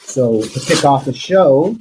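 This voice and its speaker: A man's voice: mid-range voice, animated, slow pace, darkish tone, a little hiss or hum, rough recording. A man in his forties, born in the Philippines, hosting a casual livestream show in a rambling, chatty way with lots of fillers and restarts. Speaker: host.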